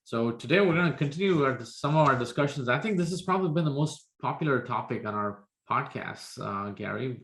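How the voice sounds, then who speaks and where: A man's voice: medium pitch, gravelly, a bit bright, echoey room. A man co-hosting a podcast in a studio, upbeat, with many fillers. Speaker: podcast co-host. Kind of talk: podcast interview.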